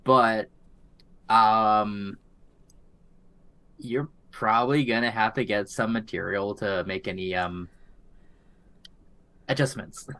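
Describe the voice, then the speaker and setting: Man, dungeon master, easygoing, hesitant fillers, lowish voice, slow. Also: sharp tone. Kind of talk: narrating a tabletop game.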